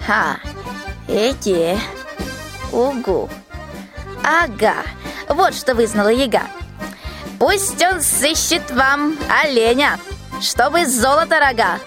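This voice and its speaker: Fairy-tale radio witch, actress: old, sly, high chanting voice, drawn-out delivery, music behind. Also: animated. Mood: conjuring, smug. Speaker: student radio-play actor. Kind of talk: rhymed radio play acting.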